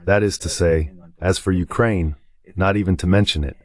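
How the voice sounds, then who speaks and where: A male guest commentator with a deep voice lays out political analysis. He is explanatory and emphatic, with a dismissive edge to his delivery. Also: fairly even intonation, moderate pace. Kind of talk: political commentary, translated voice-over.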